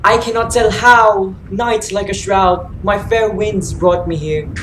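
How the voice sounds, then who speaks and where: A woman's voice: mid-range voice, steady tone, slow pace, a bit bright, echoey room, a little hiss or hum, poor audio. A woman playing an anguished, self-cursing blinded king in a dramatic reading of Greek tragedy.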